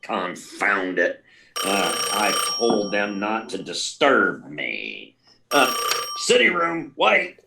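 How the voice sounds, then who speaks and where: Older male newspaper boss, man acting: blustery radio-drama delivery, gravelly, unhurried, hesitant, off-mic. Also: on the low side, big pitch swings, noisy background, heavily acted. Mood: irritated, alarmed. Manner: gruff, hesitant, sputtering. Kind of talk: 1940s radio serial acting. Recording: distant mic, live remote performance.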